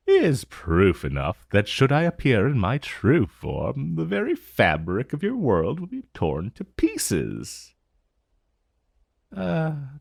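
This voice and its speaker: A man's voice: lowish voice, gravelly, darkish tone, no echo, studio quality, full character voice. A man playing an ancient dragon in human form, haughty and teasing with a vague menace, in a theatrical, condescending purr for a fantasy ASMR roleplay. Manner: slow, theatrical, condescending purr with mocking endearments. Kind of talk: fantasy ASMR roleplay audio, addressing the listener.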